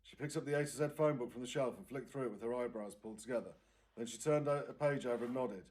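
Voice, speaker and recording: A British man reads a children's novel aloud in the manner of a primary teacher. His voice sits at a medium pitch. The narration is expressive and clearly performed for young listeners. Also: quick pace. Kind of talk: expressive storybook reading aloud.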